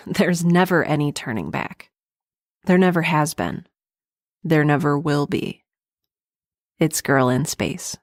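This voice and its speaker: A woman playing a grave, deliberate speaker in the scripted opening of an audio drama. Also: sits low, expressive, dry recording. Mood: grave, resolute.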